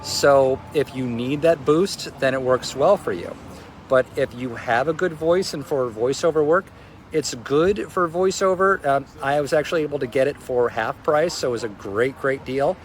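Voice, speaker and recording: A man's voice: medium pitch, moderate pace, a little hiss or hum. A man with a strong voice giving a casual, loosely phrased spoken review. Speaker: product reviewer.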